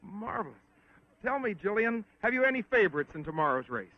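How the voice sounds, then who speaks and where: A man conducting a formal, polite sports interview on a 1960s film, with a slightly halting delivery. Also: high voice, very animated, dry recording. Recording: muffled 1960s film soundtrack.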